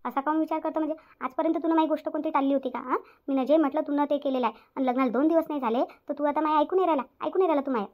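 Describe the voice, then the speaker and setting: Woman acting a high-pitched, nagging village mother-in-law. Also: very fast, few pauses, natural voice. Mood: scolding, bitter. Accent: Vidarbha Marathi. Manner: nagging, repetitive rhetorical questions. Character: stern middle-aged village mother-in-law, possessive, scolding and self-pitying. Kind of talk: melodramatic cartoon family drama. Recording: dull lo-fi audio.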